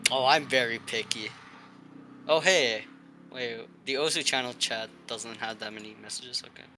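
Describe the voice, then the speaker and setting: A young man chatting playfully with a friend, joking and laughing loudly. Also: mid-range voice, normal speed, a little hiss or hum. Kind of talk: casual chat.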